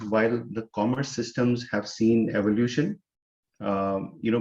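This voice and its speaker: A lower-pitched man talks through his business in a measured, explanatory way. He leans on "you know" fillers as he goes. The audio is dull and lo-fi, like a video call. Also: darkish tone. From India. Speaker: startup co-founder guest. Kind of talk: business interview answers.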